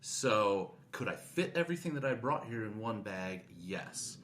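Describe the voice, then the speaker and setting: Lower-voiced man in casual podcast chat. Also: expressive, a little slow, a bit bright. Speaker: podcast co-host.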